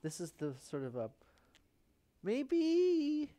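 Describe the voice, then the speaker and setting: A man giving casual, unscripted commentary as he paints, thinking aloud in a halting, self-critical way. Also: on the high side, expressive, darkish tone. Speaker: painter hosting a live studio stream.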